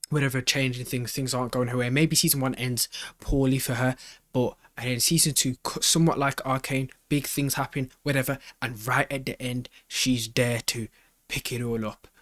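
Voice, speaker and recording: A man's voice: mid-range voice, fairly even intonation, normal speed, a bit bright, no echo. Rambling man in casual reaction commentary, dry sound. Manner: rambling, repeats phrases, slangy. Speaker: reaction video host.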